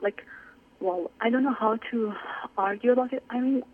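A woman's voice: fairly high pitch, moderate pace. A young woman from Iran calling in to a radio show, talking hesitantly with many fillers as she searches for words. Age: young adult. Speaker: caller. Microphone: telephone. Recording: tinny phone line.

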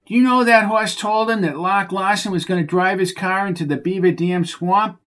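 A man's voice: high voice, moderate pace, a bit bright, voice pushed up. A man voicing a dim-witted, heavyset male stable hand who sounds whiny, childish and defensive.

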